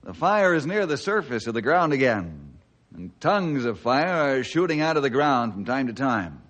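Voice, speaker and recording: Earnest, animated forest ranger hero. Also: male voice, mid-range voice, normal speed. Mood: friendly, earnest. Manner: earnest, authoritative. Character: upright, steady, righteous male forest ranger hero. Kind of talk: old-time radio adventure acting.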